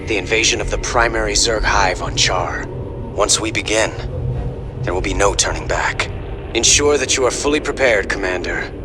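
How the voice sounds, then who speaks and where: An actor playing an ambitious, composed young prince in a game cutscene, with formal, aristocratic delivery. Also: male voice, on the low side, moderate pace, lightly acted. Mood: solemn. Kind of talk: video game cutscene acting. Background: loud dramatic music.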